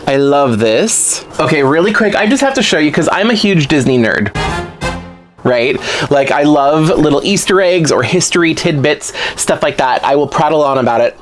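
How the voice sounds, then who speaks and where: A man chatting casually to camera at home as he opens fan mail, excitable and rambling with little asides. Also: a little high, normal speed, soft background music. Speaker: vlogger opening fan mail. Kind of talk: casual unboxing chat.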